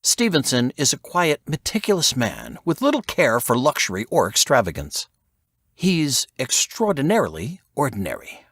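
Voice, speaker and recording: Guy reading as a stuffy intense spy agent, higher pitched, sneery and emphatic, audiobook style. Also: sing-song, moderate pace, a bit bright, breathy edge, no room sound, studio quality. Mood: dry, insistent. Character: irritable, stuffy, intense male intelligence agent.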